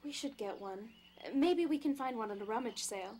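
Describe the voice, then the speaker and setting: A woman's voice: on the high side, animated, a little fast, a little mellow. A woman playing a teasing, easygoing young houseguest in an audio drama, with a playful, conversational manner. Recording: clear background noise.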